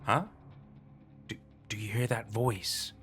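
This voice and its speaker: A man's voice: fairly low pitch, steady tone, slow pace, a bit bright. A man voicing a panicked, paranoid young man who hears voices, whispering and screaming in fear, with faint music behind. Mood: terrified whisper. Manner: frantic, pleading, screaming.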